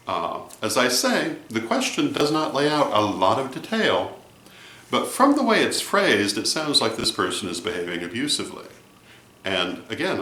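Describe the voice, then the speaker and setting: A man giving advice in a vlog monologue, speaking in a measured, deliberate way with emphatic phrasing. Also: medium pitch, fairly bright tone. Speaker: vlog host and religious advisor. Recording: roomy, echoey sound.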